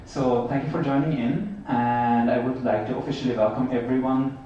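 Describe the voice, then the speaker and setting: A man's voice: mid-range voice, fairly even intonation, normal speed, dark tone. A man giving an unscripted welcome speech as a board member, hesitant and a little rambling. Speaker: board member opening an assembly. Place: large echoing room, heard from far off. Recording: echoey hall, distant, dull, faint noise.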